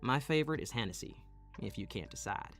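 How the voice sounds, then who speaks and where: A man's voice: medium pitch, animated, fairly quick, vocal fry, a bit bright, faint music behind. Man acting a smug, teasing young playboy. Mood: smug, commanding. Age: young adult. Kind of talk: audio roleplay acting.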